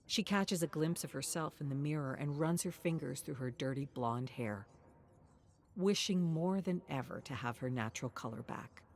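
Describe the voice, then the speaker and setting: A woman reading a scripted story in a measured, expressive, dramatic way, sometimes dropping to a hush. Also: sits low, a little hiss or hum. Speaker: narrator of game lore stories.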